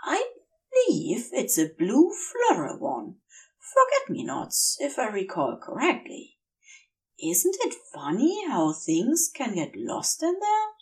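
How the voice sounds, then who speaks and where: A woman voicing a gentle, fussily polite male angel who rambles affectionately in a dazed, feverish way in an audio story. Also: on the high side, wildly swooping pitch, slow pace, distant mic, pitched above the performer's own voice, full character voice. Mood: pleased, dreamy.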